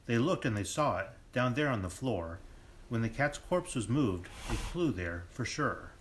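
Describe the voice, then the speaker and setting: A man's voice: medium pitch, big pitch swings, a little slow, slight background noise. A man playing a theatrical storybook narrator, telling a comic murder mystery in lilting rhyme. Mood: dramatic, wry. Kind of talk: scripted rhyming narration.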